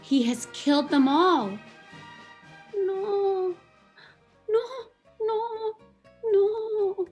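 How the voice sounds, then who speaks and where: A man's voice: extremely high pitch, extremely slow, pauses often, faint music behind, voice pushed up, full character voice. A man voicing a frightened little girl who pleads with her older companion in a table read. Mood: panicked. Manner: pleading, fearful.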